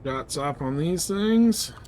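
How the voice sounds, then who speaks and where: A man's voice: on the high side, animated, takes it slowly, darkish tone. Man streaming casually over faint game noise. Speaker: game livestreamer. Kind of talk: casual gaming stream commentary.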